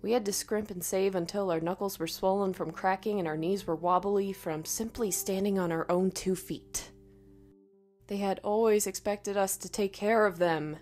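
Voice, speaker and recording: A woman narrating a scripted first-person horror story in a dramatic, expressive way. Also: medium pitch, normal speed, faint music behind.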